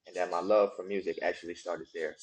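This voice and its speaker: A man's voice: low-pitched, little pitch movement, fast. A young man from Guyana, a guest musician, talking casually in an interview and often saying "you know what I'm saying".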